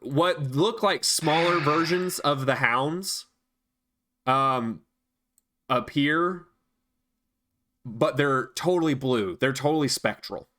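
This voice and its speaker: A man's voice: a little high, slow pace, pauses often, pushed and bright. A man working as game master, running a tabletop game and calling out moves and dice rolls.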